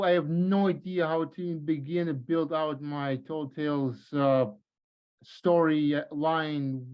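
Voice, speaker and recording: A man taking part in a workshop, asking haltingly and searching for his words. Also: on the high side, clear, resonant tone. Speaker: workshop participant asking a question. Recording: dull, lo-fi online call audio.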